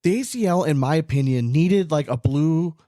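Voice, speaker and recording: A man co-hosting a casual sports podcast, talking in an animated, rambling way and swearing freely for emphasis. His voice sits a touch high for a man. It sounds dry and close on the mic. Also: normal speed, no echo. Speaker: podcast co-host.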